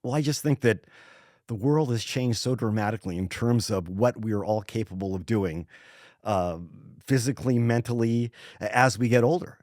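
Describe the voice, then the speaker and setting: A man's voice: mid-range voice, moderate pace, a little mellow, no room sound, clean studio sound. A man in his sixties, a guest author in a casual podcast interview, talking thoughtfully and rambling with many restarts. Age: 60s.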